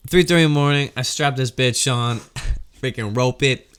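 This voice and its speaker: A man in casual comedic storytelling mode as a host, animated and slangy, swearing freely and acting out quotes and sound effects. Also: medium pitch, normal speed, clean tone.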